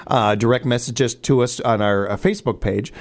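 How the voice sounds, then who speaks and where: A man hosts a morning radio show from the studio, his voice a little hoarse. He talks in an easygoing, chatty way and laughs easily. His pitch sits in the middle. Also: normal speed. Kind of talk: casual morning radio banter.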